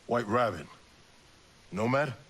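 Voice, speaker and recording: An actor playing a weary, disillusioned soldier in a video game, talking in a blunt, colloquial way. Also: male voice, medium pitch, animated, takes it slowly, fairly bright tone, slightly rough, exaggerated voice. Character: weary, disillusioned male soldier, plain-spoken comrade. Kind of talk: video game cutscene acting. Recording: faint music behind.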